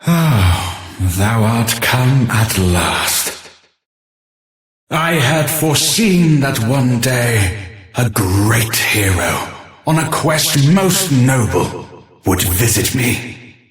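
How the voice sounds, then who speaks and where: A man's voice: mid-range voice, big pitch swings, drawn-out words. A man voicing a solemn prophetic sage in a fantasy game, with grave, archaic, formal declamation. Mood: solemn, portentous. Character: solemn, ancient-sounding prophetic male sage awaiting the hero. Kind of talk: scripted fantasy game dialogue. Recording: roomy echo, distant from mic.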